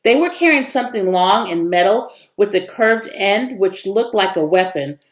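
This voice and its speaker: A woman's voice: medium pitch, expressive, moderate pace. A woman narrating a children's story expressively, in a roomy, echoing recording. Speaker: read-aloud narrator.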